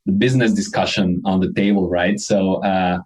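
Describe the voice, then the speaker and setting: A man's voice: sits low, fairly even intonation, moderate pace, a little mellow. A man from Ecuador with an Ecuadorian accent, a company co-founder answering interview questions in an earnest, reflective way. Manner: earnest, reflective, frequent restarts.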